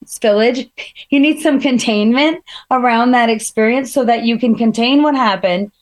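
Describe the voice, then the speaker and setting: A woman in casual conversation, her somewhat high voice carrying a slight harshness. She thinks aloud, building points through everyday examples. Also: normal speed. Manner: reflective, gives examples, many fillers. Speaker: guest speaker.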